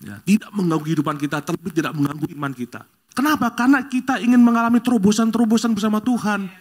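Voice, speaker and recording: A middle-aged man from Bali leads a church prayer, then preaches a sermon to the congregation. His talk is lively, rising to loud exclamations and jokes with the congregation. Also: high-pitched, rapid-fire. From Bali, Indonesia. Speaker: guest preacher. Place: church service.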